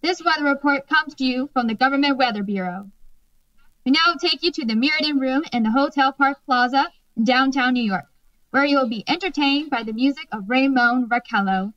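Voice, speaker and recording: Woman doing a formal 1930s radio announcer, high voice, measured delivery, kinda dull lo-fi sound. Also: fairly bright tone. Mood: measured, informative.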